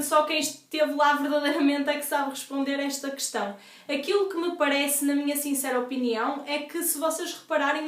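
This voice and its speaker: Young woman, European Portuguese accent, stuffy congested voice, high-pitched, echoey room, set back from the mic. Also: fairly bright tone, far from the mic. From northern Portugal. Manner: chatty, explanatory, many fillers. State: congested from asthma. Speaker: vlogger. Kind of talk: casual Q&A vlog. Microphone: device camera at a distance.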